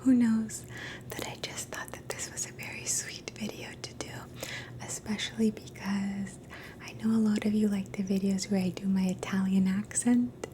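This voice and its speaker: A woman in a soft, gentle, mostly unvoiced whisper, slowly teaching phrases in a relaxing language lesson and repeating each one. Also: mid-range voice, level tone. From Canada. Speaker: ASMR host teaching phrases.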